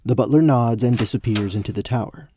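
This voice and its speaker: A man's voice: mid-range voice, fairly quick, dark tone. A man narrating a scripted fantasy story as a storyteller.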